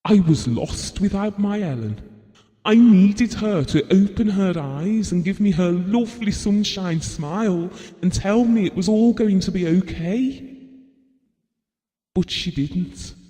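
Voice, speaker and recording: An actor playing a devoted, gentle husband and father, telling his memories earnestly and tenderly in an audio drama. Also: sits high, measured pace. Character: Bill, devoted, gentle husband and father recalling a family tragedy. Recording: reverberant hall-like sound.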